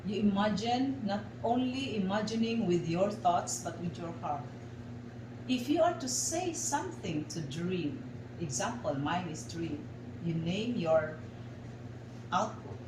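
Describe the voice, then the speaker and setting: A woman with a Filipino accent guides an art exercise as a facilitator, giving deliberate instructions in short, separated phrases. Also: mid-range voice, animated. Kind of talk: slow guided instruction for a radio lesson. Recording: echoey room, clear background noise.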